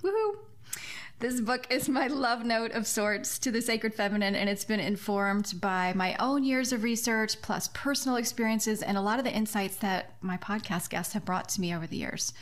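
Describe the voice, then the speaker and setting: A woman's voice: mid-range voice, normal speed. A woman hosting a podcast in a conversational style, speaking earnestly and effusively. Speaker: podcast host and author.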